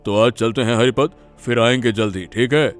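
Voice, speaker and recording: A man's voice: medium pitch, steady tone, moderate pace, soft background music, close to an everyday voice. A man voicing a genial, easily delighted old village grandfather in a cartoon story, hearty and given to repeated exclamations. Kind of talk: Hindi cartoon story dialogue.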